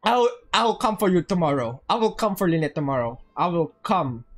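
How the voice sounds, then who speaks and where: A woman livestreamer chatting casually over a game in an excitable, joking way, often repeating phrases. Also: lowish voice, normal speed. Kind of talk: casual game stream chat. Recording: game music behind.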